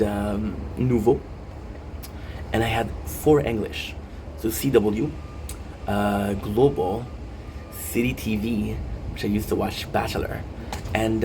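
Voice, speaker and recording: A man from Quebec, speaking English with a French Canadian accent, chats in a loose, wandering way over a game, full of ums and restarts. His voice sits a bit low. An air-conditioning hum runs clearly behind him. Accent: Québécois French. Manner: rambling, many restarts and fillers. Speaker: gaming streamer. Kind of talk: casual rambling talk while gaming.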